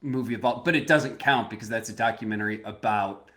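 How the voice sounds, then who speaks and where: A man co-hosting a podcast, arguing his point with growing excitement. He talks in quick bursts, laughing as he pushes back and restarts sentences. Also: fairly low pitch. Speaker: podcast co-host. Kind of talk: casual chat.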